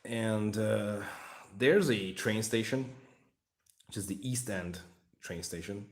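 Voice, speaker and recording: Deep-voiced Hungarian man chatting. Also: slow, echoey room. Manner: rambling, joking, talking to chat. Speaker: livestream hobby host. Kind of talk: casual livestream chat while building a model.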